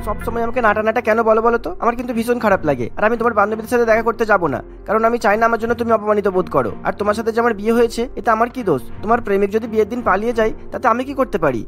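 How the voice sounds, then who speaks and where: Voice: mid-range voice, racing, hardly any pauses, faint music behind, natural voice. An actor voicing a meek, wounded, very short young husband in a cartoon, with a pleading manner. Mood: hurt, protesting. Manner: pleading, protesting.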